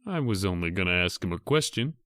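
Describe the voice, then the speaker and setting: A man's voice: sits low, very animated, fast talker, fairly bright tone, no echo. A man playing a curt, sardonic, trigger-happy gunman in an old-time radio horror drama. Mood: sly, menacing. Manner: terse, sardonic.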